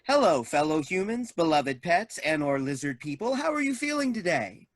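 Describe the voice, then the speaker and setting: Woman acting a husky, swooping robot medical assistant. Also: on the low side, big pitch swings, normal speed. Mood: chirpy, formal. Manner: formal automated-announcement phrasing. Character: officious menu-reciting medical AI robot assistant, blithely ominous. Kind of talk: staged reading of a comic play.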